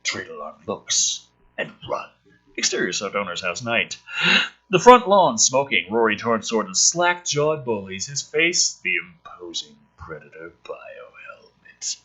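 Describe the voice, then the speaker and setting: A man reading a film script aloud and adding casual, sardonic, profane commentary in an animated way. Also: lowish voice, a little slow, breathy edge, low-quality recording.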